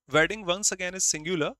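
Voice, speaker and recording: A man's voice: high voice, pushed and bright, no room sound. A man from India, a Hindi speaker, teaching an online grammar class and stressing key words by repeating them.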